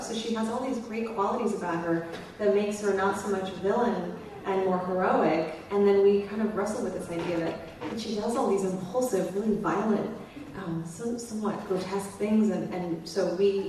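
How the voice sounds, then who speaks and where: A woman psychologist explains her views on a convention panel, thoughtful and analytical, pausing on fillers. Her pitch is mid-range. She sounds far from the mic in a heavily echoing room with a faint hum behind. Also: mellow. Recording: echoey room mic from afar.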